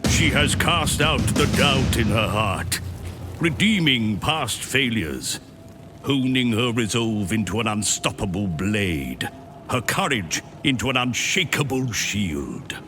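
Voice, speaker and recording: A man's voice: mid-range voice, animated, slow pace, bright, forceful tone, faint music behind. A man playing a grave storyteller, giving scripted, portentous narration for a fantasy game cutscene. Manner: solemn, portentous.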